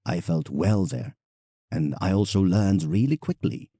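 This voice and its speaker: An actor playing an elderly English painter, reflective and nostalgic, delivering a deliberate, wistful monologue in an audio drama. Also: male voice, low voice, dark tone, dry recording, studio quality. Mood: proud, reminiscing. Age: older.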